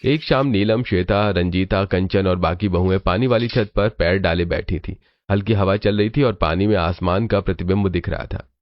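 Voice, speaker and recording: A man's voice: low-pitched, normal speed, no echo, highs cut off. A man narrating a scripted cartoon story as its storyteller.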